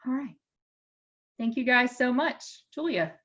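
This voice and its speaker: A woman's voice: high-pitched, animated, a little slow, a bit bright. A woman casually moderating a group call, chatty, upbeat and appreciative. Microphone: conference call line. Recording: slightly muffled conference call audio.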